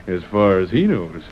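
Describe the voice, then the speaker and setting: Sly western schemer on muffled radio. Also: male voice, low voice, husky, heavily acted. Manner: folksy frontier grammar, knowing. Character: sly scheming western henchman, insinuating and coolly crooked. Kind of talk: 1930s radio acting. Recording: muffled 1930s radio broadcast recording.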